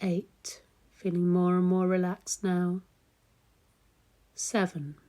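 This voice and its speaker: Lady doing guided meditation, really slow and soft toned, mellow relaxed voice, long gentle pauses. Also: on the low side.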